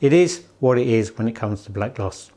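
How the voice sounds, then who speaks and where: A man's voice: mid-range voice, animated, moderate pace, mellow. A man giving a casual product presentation in a chatty, rambling way, often repeating himself as he goes.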